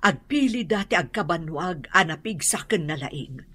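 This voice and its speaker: A woman acting in an Ilocano radio drama as a practical middle-aged mother, husky and matter-of-fact as she gives instructions. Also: fairly low pitch, lively intonation, normal speed, fairly bright tone. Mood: practical, instructing.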